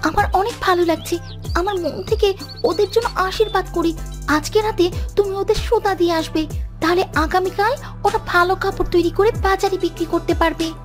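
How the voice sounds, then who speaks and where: A woman's voice: extremely high pitch, steady tone, normal speed, soft background music. A woman voicing an anxious, kindly mother mouse in a cartoon, earnest and motherly. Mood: kindly, hopeful. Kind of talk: Bengali moral story cartoon acting.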